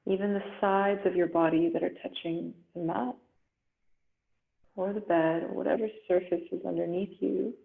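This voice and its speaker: Woman yoga teacher, slightly low pitch, soothing guided meditation, very slow with long pauses, echoey muffled phone-like recording. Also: fairly even intonation, creaky. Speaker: yoga instructor. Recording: roomy echo, muffled phone-like sound.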